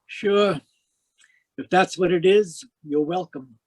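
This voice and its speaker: A man playing a wisecracking hardboiled private eye, higher-voiced. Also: slow. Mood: offhand, cool. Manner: wry, terse, slangy delivery. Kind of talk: hardboiled 1940s radio detective narration.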